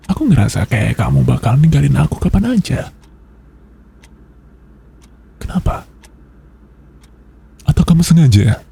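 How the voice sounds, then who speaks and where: Dark, breathy possessive boyfriend acted by a man. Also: mid-range voice, heavily acted. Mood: jealous, wounded. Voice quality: breathy, husky murmur. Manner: slow, coaxing, controlling, with soft threats. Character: possessive, menacing yandere boss boyfriend, young adult man. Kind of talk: ASMR boyfriend roleplay, intimate close speech.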